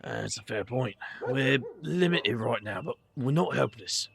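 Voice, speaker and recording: A man's voice: mid-range voice, lively intonation, unhurried, creaky, a crowd in the background. An actor playing a wry, guarded young man, hesitant and sardonic, in an audio drama. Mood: earnest.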